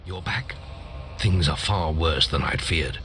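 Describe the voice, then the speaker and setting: An actor playing a grave older guild master in a fantasy video game, speaking formally and urgently. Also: male voice, very deep voice, slow pace, pushed and bright, a little hiss or hum, heavily acted. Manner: solemn, urgent, formal instruction. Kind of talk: scripted fantasy game acting.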